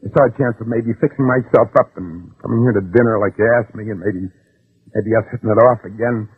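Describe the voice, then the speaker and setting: A man's voice: fairly low pitch, fast, slightly rough, narrow, tinny audio. An American actor playing a slangy, coaxing ex-convict gunman on the run in a 1960s radio suspense play. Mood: confessing. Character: desperate male ex-convict gunman on the run, wheedling old flame. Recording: thin, band-limited old radio recording.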